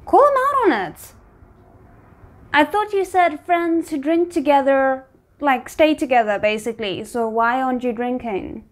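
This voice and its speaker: A woman's voice: high voice, lively intonation, unhurried. A woman giving casual, off-the-cuff reaction commentary as she watches a show, with muttered wry asides. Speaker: reaction video host.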